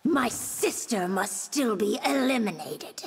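A woman playing a sly, taunting monstrous warrior princess in a fighting game, raspy, theatrical and mocking. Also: on the high side, expressive, slow pace, exaggerated voice. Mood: vengeful.

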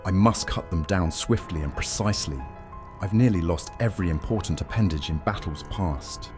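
An actor playing a cocky, sardonic young vampire soldier in a fantasy audio drama, with a theatrical, teasing delivery. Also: male voice, low voice, moderate pace, music in the background, natural voice. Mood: boastful, dry.

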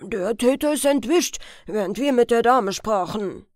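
A woman's voice: a little high, lively intonation, unhurried, no echo, studio quality. Woman reading as urgent, commanding young policeman. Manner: urgent, barked orders.

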